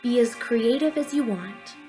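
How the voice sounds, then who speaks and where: A woman's voice: a little high, measured pace. A young woman speaking as a drama teacher, guiding children through an activity in an encouraging, explanatory way, recorded at home on a webcam. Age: young adult. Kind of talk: instructional talk and guided pantomime narration for children. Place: living room at home, indoors. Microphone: webcam or laptop at arm's length. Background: soft quiet music.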